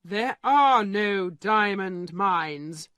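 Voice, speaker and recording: A woman voicing a calm, blunt male lawyer who speaks in a flat, matter-of-fact way. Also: medium pitch, drawn-out words, no room sound. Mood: grave.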